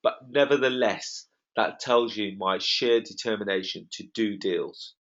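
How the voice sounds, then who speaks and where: A man hosting an online property webinar, slightly croaky, talking in a confident and emphatic sales manner. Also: fairly low pitch, unhurried, a bit bright. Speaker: webinar host. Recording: dull lo-fi web call audio.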